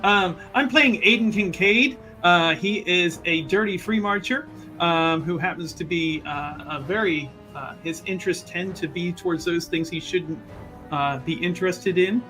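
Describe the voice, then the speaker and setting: A man's voice: sits high, measured pace, sharp tone, faint music behind. A man chatting casually as a tabletop game player, rambling and joking as he introduces his character.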